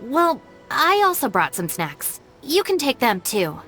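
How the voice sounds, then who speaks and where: A woman voicing a sensible, composed young gang deputy in a game, polite and measured with dry incredulity. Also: sits high, sing-song, bright, forceful tone, heavily acted. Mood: calm, polite. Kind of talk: anime-style game dialogue.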